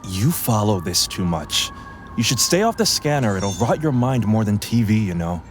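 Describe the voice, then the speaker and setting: An actor playing a weary, sarcastic but dedicated paramedic driver in an audio drama, gruff and exasperated. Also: male voice, fairly low pitch, very animated, normal speed. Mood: weary, dismissive. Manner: sardonic, exasperated, swearing. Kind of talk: audio drama acting with internal narration. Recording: clear vehicle ambience behind the voice. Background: ambulance and traffic sound effects.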